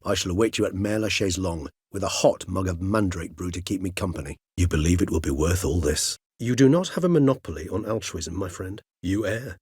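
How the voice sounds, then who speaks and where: Ancient courteous vampire scholar, lowish pitch, slightly husky edge, formal archaic wording, measured fantasy game delivery. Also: male voice, darkish tone, no room sound, studio quality. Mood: earnest, measured. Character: erudite, courteous, centuries-old male vampire gentleman scholar. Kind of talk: fantasy video game character dialogue.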